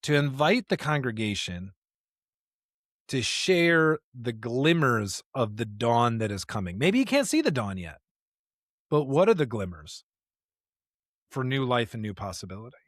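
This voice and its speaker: An American man with a mid-pitched voice talks as a podcast co-host. He is earnest and reflective, leaning into preacherly rhetorical questions. The sound is close and dry. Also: sing-song, a little slow, long pauses, no room sound. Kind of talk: conversational discussion of scripture.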